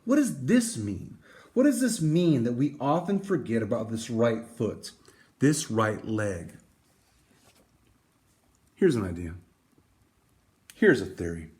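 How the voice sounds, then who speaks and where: Male storybook reader, slow pace, very lively pitch, dramatic emphasis, deliberate pauses. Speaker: reader reading a picture book aloud. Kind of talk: expressive storybook read-aloud.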